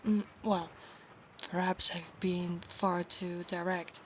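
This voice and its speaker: A haughty, insistent centaur warrior maiden, acted by a woman in an ASMR roleplay. She speaks formally and commandingly at an unhurried pace. There is noticeable noise in the recording. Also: lowish voice, little pitch movement, sharp tone. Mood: softening, earnest. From Italy. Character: proud, insistent female centaur knight of a holy order.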